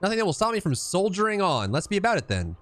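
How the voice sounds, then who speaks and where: A man's voice: high-pitched, sing-song, quick pace, fairly bright tone, pitched above the performer's own voice. A man voicing an earnest, polite young woman scholar from a fantasy game, formal and bookish. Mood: reassuring.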